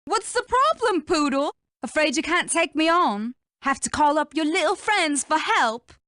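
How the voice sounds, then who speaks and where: A woman's voice: sits high, expressive, measured pace, bright, forceful tone, no echo, studio quality, full character voice. A woman voicing a cocky, flirtatious animal villain in a cartoon video game, teasing and mocking. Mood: mocking, menacing.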